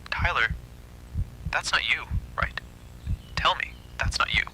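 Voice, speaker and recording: A man voicing a gentle but anxious young woman, careful, strained and breathy, in a horror story. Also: mid-range voice, slow, in a whisper, low-quality recording.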